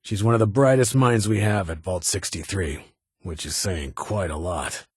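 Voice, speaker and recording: A guarded, duty-bound security chief in a video game. His voice is very husky and rough-edged, sitting on the low side. He speaks formally in measured, clipped phrases, recorded close and dry. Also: darkish tone, dry recording. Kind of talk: scripted game character dialogue.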